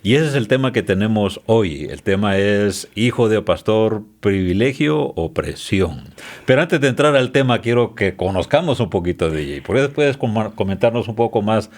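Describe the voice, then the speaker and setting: A man's voice: fairly low pitch, animated, moderate pace. A man hosting a podcast interview, speaking politely and hesitantly, with frequent filler sounds. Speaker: podcast host.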